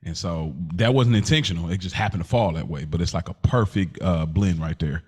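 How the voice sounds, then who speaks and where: Podcast co-host: American man, thirties, low voice, slangy and informal, casual friend-to-friend chat. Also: fairly quick, a little mellow, husky.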